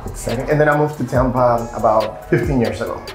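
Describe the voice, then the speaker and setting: A man's voice: medium pitch, fast talker. A man in his thirties from Puerto Rico, a hairstylist chatting casually on camera, rambling and searching for words. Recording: roomy sound with music behind.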